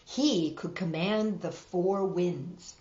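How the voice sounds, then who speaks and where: A woman reading a picture book aloud to children, expressive and dramatic, pausing for asides to show the pictures. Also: lowish voice. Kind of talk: animated children's story reading with asides to viewers. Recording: roomy, dull lo-fi web video.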